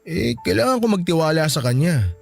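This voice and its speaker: Meek young husband, highish voice. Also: male voice, big pitch swings, brisk, gravelly, exaggerated voice. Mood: apologetic, pleading. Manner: hesitant, pleading, soft-hearted. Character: meek, apologetic young husband who cannot say no. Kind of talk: radio drama acting in dialogue. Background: background music.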